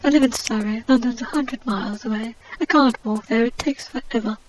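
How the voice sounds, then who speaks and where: A woman's voice: a little high, fairly quick, darkish tone, lightly acted, poor audio. A woman doing a snooty, wronged English adventuress. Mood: snobbish. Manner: indignant, snobbish, theatrical complaining. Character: aggrieved posh English woman adventurer, snobbish and melodramatic. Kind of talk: comic monologue in character.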